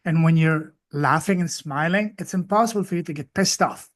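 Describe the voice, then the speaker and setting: A man who grew up in France hosts a podcast interview, speaking with enthusiasm and frequent warm affirmations. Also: a little high, brisk. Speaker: podcast host. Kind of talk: interview hosting.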